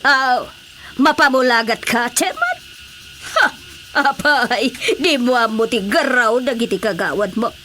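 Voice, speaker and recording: Brash, boastful businesswoman, Ilocano accent, high voice, theatrical radio comedy delivery, noisy recording. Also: big pitch swings, bright, forceful tone, full character voice. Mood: boastful, mocking. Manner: exclamatory, theatrical, drawn-out hesitations and scoffing. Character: brash, well-connected businesswoman boasting of powerful protectors.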